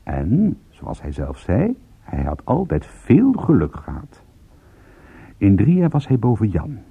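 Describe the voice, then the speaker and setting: A wry private detective in a radio play, with a very husky voice that moves freely up and down. He tells his case in a measured, dryly amused way. The recording sounds narrow and phone-like, like old radio. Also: lowish voice, huge pitch swings, no echo, exaggerated voice. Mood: dry, matter-of-fact. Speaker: narrator and lead actor. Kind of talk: radio drama narration.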